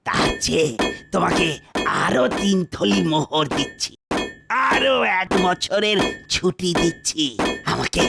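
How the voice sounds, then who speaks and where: Casting: devil for a folk-tale cartoon, sly coward, very gravelly, unhurried, theatrical. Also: male voice, sits high, lively intonation, very noisy, exaggerated voice. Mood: pleading. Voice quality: gravelly, creaky. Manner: theatrical, drawn-out scheming and pleading. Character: scheming devil, sly and boastful but cowardly. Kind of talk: animated children's folk tale dub. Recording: music behind the voice.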